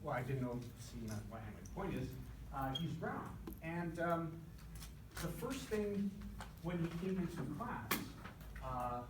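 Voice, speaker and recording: A man's voice: fairly high pitch, lively intonation, measured pace. Rambling joking male instructor in echoey classroom. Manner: rambling, jokey storytelling with many fillers. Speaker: accounting instructor. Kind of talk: lecture with joking asides. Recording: echoey classroom from a distance with background noise.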